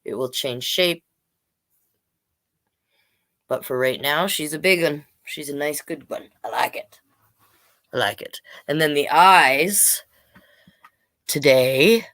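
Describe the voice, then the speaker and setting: Low-voiced woman hosting a casual storytime. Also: slow, pauses often, fairly bright tone. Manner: rambling, informal asides while reading. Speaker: drag king storytime host and reader. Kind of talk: casual livestream chat and picture-book reading.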